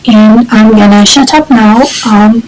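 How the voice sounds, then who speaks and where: A woman's voice: a little high, fairly even intonation, measured pace, a little mellow, a little hiss or hum, low-quality recording. A young woman whose first language is not English, talking casually to camera in a rambling, hesitant way with many fillers. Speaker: vlogger. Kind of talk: casual personal vlog.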